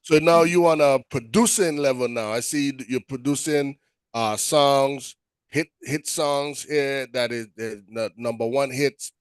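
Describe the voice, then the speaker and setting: A man's voice: medium pitch, unhurried, clear, resonant tone, no echo. A man with a Virgin Islands accent hosting a casual interview, praising and prompting his guest in an easygoing way. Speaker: talk show host.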